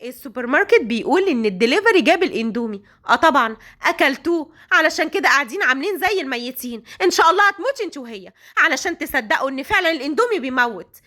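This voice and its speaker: A woman's voice: big pitch swings, very fast, bright, forceful tone, full character voice. A woman voicing a furious young woman with an Egyptian accent who scolds sharply. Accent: Egyptian Arabic. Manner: shrill, scolding.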